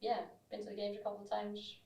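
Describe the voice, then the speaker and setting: Gravelly thirties woman, roomy and distant. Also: medium pitch, monotone, quick pace, dark tone. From Iceland. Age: 30s. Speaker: podcast guest, CrossFit coach. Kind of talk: casual chat. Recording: roomy, off the mic.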